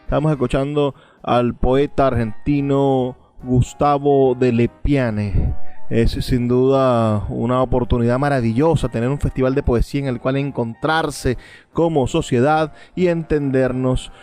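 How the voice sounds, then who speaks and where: A male radio host speaks formally and eloquently. His pitch is medium. Music plays underneath him. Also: darkish tone. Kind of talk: radio presenting.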